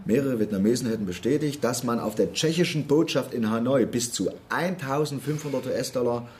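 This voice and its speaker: Radio drama role: male activist acted by a man, touchy and quarrelsome, quick to justify himself, faint noise behind. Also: mid-range voice, a little mellow, lightly acted. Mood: reading out, insistent. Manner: argumentative, defensive. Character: defensive, reproachful male activist colleague. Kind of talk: German radio drama dialogue.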